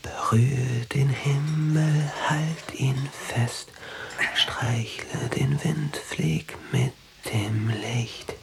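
A man's voice: medium pitch, fairly even intonation, extremely slow, creaky, very noisy. A man playing a dreamy, obsessive teenage boy in a radio drama, speaking in an absorbed, earnest way. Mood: entranced whispering. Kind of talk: German radio drama acting.

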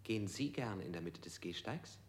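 Man acting a bewildered questioned man, radio play, hesitant, echoing questions, lively melodic voice. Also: fairly low pitch, moderate pace, natural voice. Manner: hesitant, repeating and echoing the questions put to him. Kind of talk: stylized radio drama acting.

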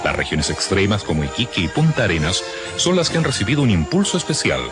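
Low male announcer over music. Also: sing-song, fast talker. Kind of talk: formal scripted propaganda narration.

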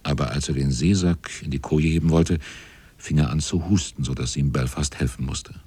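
An actor narrating a radio play as a reflective seaman, in a dark, husky, measured voice. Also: very deep voice, no room sound, exaggerated voice. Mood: reflective, wry. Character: reflective seaman recounting his shipmates' voyage.

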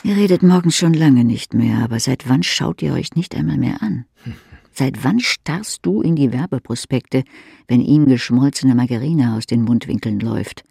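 Insistent intimate radio drama narrator, mellow gravelly voice, pointed. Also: male voice, mid-range voice, expressive, moderate pace, no echo. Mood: needling. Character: intimate needling inner-voice narrator addressing a weary wife.

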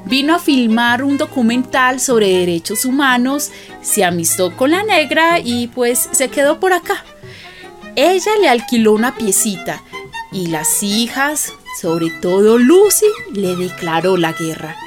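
An actress playing an affectionate, confiding neighbour who narrates a radio drama, colloquial, with a Colombian accent. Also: female voice, fairly high pitch, very animated, unhurried, faint music behind. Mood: fond, amused. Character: affectionate gossipy woman neighbour narrating her friend's life.

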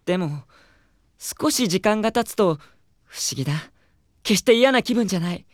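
A woman playing an earnest teenage schoolboy in an anime audio drama, theatrical, moving between hushed reflection and fervent awe. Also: medium pitch, animated, normal speed, dry recording, clean studio sound, natural voice. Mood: wistful. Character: earnest, excitable teenage schoolboy, devoted admirer of an idol.

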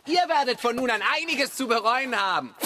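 A man playing a plucky, commanding travelling actor in a German children's radio drama, theatrical and quick-witted. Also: very high voice, animated. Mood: commanding, triumphant. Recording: music behind the voice.

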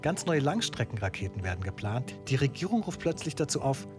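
Man as an animated, chatty radio presenter. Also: medium pitch, a little fast, music in the background, lightly acted. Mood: chatty, intrigued. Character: upbeat male radio presenter interviewing a band. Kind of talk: radio interview patter.

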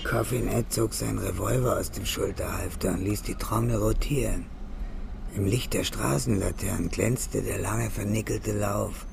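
A man's voice: on the low side, steady tone, normal speed, gravelly, very noisy. A man delivering scripted narration for a hardboiled German radio crime drama. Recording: loud music behind narration.

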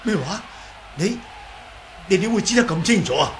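Casting: hot-tempered older Cantonese martial master, high swooping delivery, slow and blustering, radio drama. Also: male voice, expressive, fairly bright tone, slight background noise. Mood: startled. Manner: blustering, indignant.

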